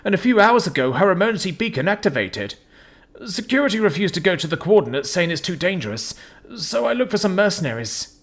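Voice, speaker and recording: A man's voice: high-pitched, big pitch swings, fast talker, a bit bright, pitched above the performer's own voice, heavily acted. A man voicing a frail, desperate old male amphibian creature with a quivering, hissing voice in a storybook reading. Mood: desperate pleading.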